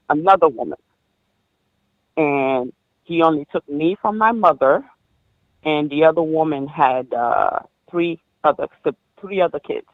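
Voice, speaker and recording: A woman of about forty, born in Haiti, calling in to a show and recounting her life in a halting way, full of ums and restarts. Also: deep voice, no room sound. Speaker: caller. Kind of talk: personal story on a call-in show. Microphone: phone. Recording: dull dry phone line.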